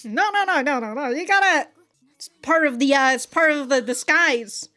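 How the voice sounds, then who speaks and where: A woman's voice: sits high, moderate pace, bright, forceful tone. A woman giving casual, slangy reaction commentary with plenty of swearing and exclamations. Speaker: reaction video host. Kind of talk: casual reaction commentary to an anime, reading subtitles aloud.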